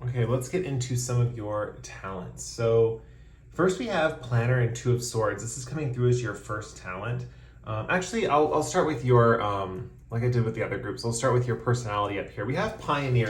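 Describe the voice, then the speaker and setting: A man talks through a tarot reading in a loose, meandering way, full of ums, and slows down to name each card. His voice is wearing thin from losing it. He sits at an ordinary middle pitch in a room with a noticeable echo. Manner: relaxed, rambling, frequent ums, slows to announce cards. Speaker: tarot reader. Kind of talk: casual tarot reading to camera.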